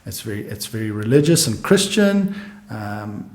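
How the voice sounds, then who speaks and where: A man's voice: on the low side, very animated. Man, thoughtful, echoey room. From South Africa. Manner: musing, thinks aloud in halting restarts. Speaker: guest economist. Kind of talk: casual podcast conversation.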